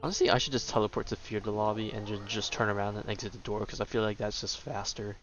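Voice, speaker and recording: A man's voice: on the low side, fairly even intonation, fast talker, fairly bright tone, highs cut off. A man giving casual, slangy, offhand commentary as he streams a video game. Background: game music.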